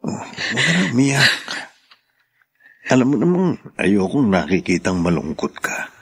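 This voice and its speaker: A man's voice: lowish voice, sing-song, measured pace, a bit bright, breathy edge, heavily acted. A man playing a tender, protective husband in a Filipino radio drama, speaking reassuringly.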